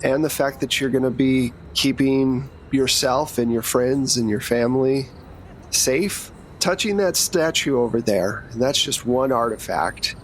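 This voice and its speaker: A man's voice: mid-range voice, close to an everyday voice. Man voicing a matter-of-fact female agent, noisy background. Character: businesslike female secret agent recruiter, matter-of-fact and protective.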